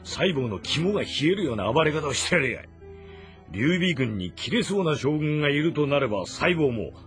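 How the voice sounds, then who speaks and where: An actor playing a grave, measured older sworn-brother general in a historical game drama, with deliberate, stern diction. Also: male voice, a little high, big pitch swings, fairly bright tone, soft background music. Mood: firm. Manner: deliberate, stern, martial, old-fashioned diction.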